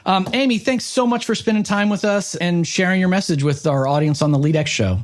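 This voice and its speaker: Higher-pitched man hosting a podcast. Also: brisk. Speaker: podcast host. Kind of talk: conversational interview and podcast hosting.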